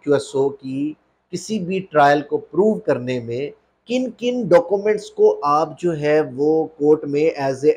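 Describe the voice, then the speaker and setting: A man's voice: a little high, darkish tone. A man with a Pakistani accent giving an explanatory legal lecture as a lawyer, mixing in English terms, in a didactic way that repeats phrases for emphasis.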